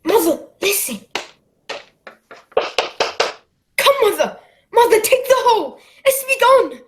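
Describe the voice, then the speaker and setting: A woman's voice: very high voice, big pitch swings, normal speed, noisy background. A woman playing an earnest, anxious young boy who narrates and acts in a radio play. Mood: urgent, alarmed. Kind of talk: radio play storytelling and acting.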